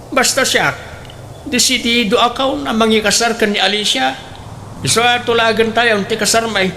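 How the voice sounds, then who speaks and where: A man's voice: extremely high pitch, steady tone, normal speed, pushed and bright, slight background noise, exaggerated voice. A man with an Ilocano accent playing a smitten, stubborn elderly father in a comedic radio drama. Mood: eager, stubborn. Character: smitten elderly widowed father eager to marry.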